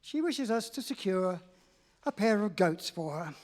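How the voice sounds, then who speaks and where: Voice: mid-range voice, lively intonation, measured pace, darkish tone, lightly acted. A stage performer playing a harried male city editor in a live radio play, hesitant and placating, full of uh's. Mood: flustered. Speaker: amateur radio play actor. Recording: long reverb of a concert hall.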